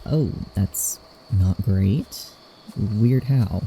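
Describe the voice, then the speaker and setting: A man's voice: fairly low pitch, very slow, gravelly, dark tone, a little hiss or hum, exaggerated voice, low-quality recording. A man in a podfic audio drama playing a hesitant, weary drifter with a drawling way of talking. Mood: hesitant, concerned.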